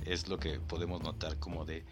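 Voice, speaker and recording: A man's voice: extremely low pitch, flat delivery, a little fast, music in the background. A man hosting a podcast, playfully and mock-solemnly commenting on clips and speaking directly to the listener. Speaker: podcast host.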